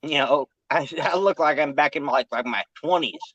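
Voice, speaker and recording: A man's voice: on the high side, moderate pace, fairly bright tone, no echo, lo-fi sound. A man in his forties chatting casually and laughing, often restarting his sentences. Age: 40s. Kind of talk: casual chat.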